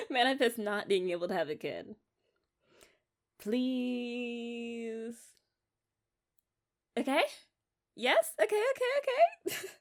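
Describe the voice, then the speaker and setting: A woman's voice: on the high side, full character voice. A young American woman playing an eager, affectionate wife in an intimate ASMR romance roleplay, rambling and coaxing in a giggly way. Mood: tender, hopeful, then excited pleading.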